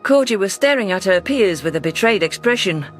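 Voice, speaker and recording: A man narrating a science-fiction story in a steady, measured storytelling manner. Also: high voice, faint music behind. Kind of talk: scripted sci-fi audiobook narration.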